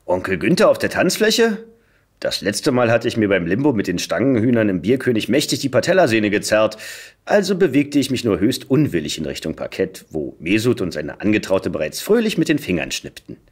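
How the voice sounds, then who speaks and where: A man giving an expressive reading of a satirical first-person story, with a dry, wry comic delivery. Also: on the low side, brisk, husky. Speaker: podcast guest, voice actor.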